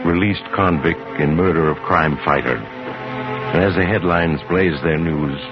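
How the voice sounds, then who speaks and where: Deep, ominous radio storyteller. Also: male voice. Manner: measured, dramatic, literary. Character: ominous, solemn storyteller of macabre tales. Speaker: narrator. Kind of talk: 1950s radio suspense narration. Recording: muffled vintage radio recording. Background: loud dramatic music.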